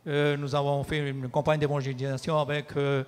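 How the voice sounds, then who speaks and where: A man interpreting a sermon in a church, measured and sometimes hesitant. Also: a little high, level tone, clear, resonant tone, long reverb tail. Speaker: pastor and interpreter. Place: church hall.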